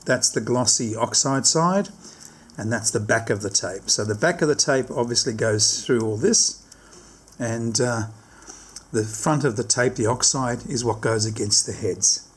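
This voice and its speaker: Husky-voiced man giving a casual tutorial. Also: mid-range voice, a little slow. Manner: explanatory, repeats and rephrases instructions. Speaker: product demonstrator.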